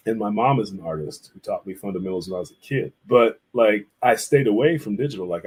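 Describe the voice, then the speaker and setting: A man's voice: deep voice, a little mellow, husky, poor audio. A middle-aged man speaking casually as an interview guest, rambling through stories and acting out quotes as he goes. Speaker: interview guest, visual artist and art teacher.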